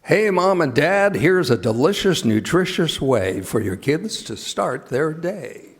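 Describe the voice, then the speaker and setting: A man's voice: a little high, very animated, unhurried. An American man reading scripted announcements and sponsor messages in the style of an old-time radio announcer, live in a theater. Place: theater stage in a college. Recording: live theater hall, long reverb, music.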